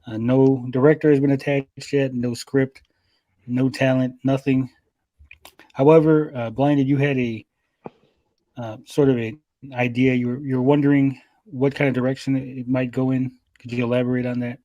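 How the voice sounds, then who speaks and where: A man's voice: medium pitch, level tone, normal speed, dark tone, no echo, highs cut off. A man hosting a podcast in a casual, conversational style. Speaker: podcast host.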